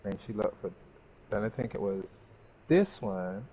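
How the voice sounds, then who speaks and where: A man's voice: on the low side, lively intonation, unhurried, warm tone, highs cut off. A man giving casual gameplay commentary, chatting to himself and to the game's characters. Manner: talks to himself and the game characters. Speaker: gaming streamer. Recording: band-limited mic with faint background noise.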